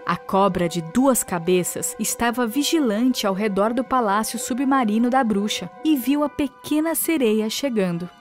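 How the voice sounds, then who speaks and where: A woman narrating a children's fairy tale in a clear, scripted storytelling manner. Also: a little high, animated, moderate pace, few pauses. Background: background music.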